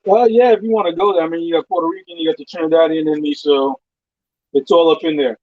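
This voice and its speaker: A man's voice: on the high side, steady tone, fast, no echo. A man cooking on a live show, informally talking through each step as he does it. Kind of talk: casual cooking demonstration chat. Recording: dull lo-fi stream audio, dry.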